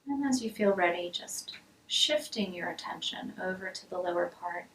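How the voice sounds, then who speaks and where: A woman leading a guided body scan meditation as a mindfulness teacher, speaking softly and soothingly with pauses, often trailing into a breathy near-whisper. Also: fairly low pitch, animated. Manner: soft, soothing, measured with pauses. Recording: roomy, distant mic, hissy background noise.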